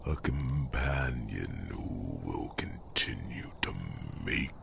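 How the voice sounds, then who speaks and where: A man playing an ancient, tender, wise dragon in an ASMR fantasy roleplay, murmuring slowly in a gravelly half-whisper. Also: very deep voice, expressive, background noise, exaggerated voice. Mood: wistful. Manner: slow, pausing, murmuring. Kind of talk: ASMR fantasy roleplay, slow intimate monologue.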